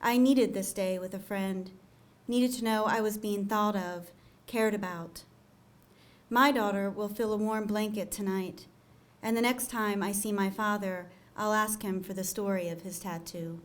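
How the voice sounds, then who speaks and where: A young woman reading her own poem aloud at a podium, measured and soft, with hesitant fillers when she introduces it. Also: medium pitch, steady tone. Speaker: student poet reading her work. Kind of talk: poetry reading. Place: small lecture room with audience. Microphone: podium gooseneck mic.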